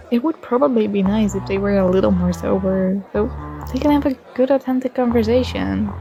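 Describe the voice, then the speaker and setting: Slow, gravelly-edged friendly young student played by a woman. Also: mid-range voice, rough recording. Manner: gentle, unhurried, with small giggles. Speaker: ASMR roleplay performer. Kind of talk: ASMR roleplay, soft conversational acting.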